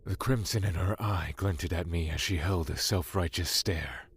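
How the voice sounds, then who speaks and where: A man playing a brooding, solitary god of the void in an audio drama, speaking in a measured, somber, terse way. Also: sits low, slightly rough. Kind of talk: audio drama acting with first-person narration.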